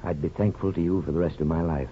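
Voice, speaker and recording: Muffled vintage radio, wistful old barber. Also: male voice, sits low, fairly even intonation, fast, breathy edge. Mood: guarded. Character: gentle small-town barber, an aging grandfather, reflective and wistful. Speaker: lead actor and narrator. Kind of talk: 1950s radio drama acting. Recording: muffled 1950s radio broadcast, music behind.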